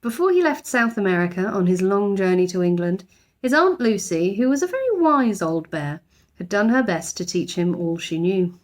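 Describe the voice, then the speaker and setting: Woman, children's storybook narration, expressive teacher's read-aloud, highly varied intonation, medium pitch. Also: moderate pace.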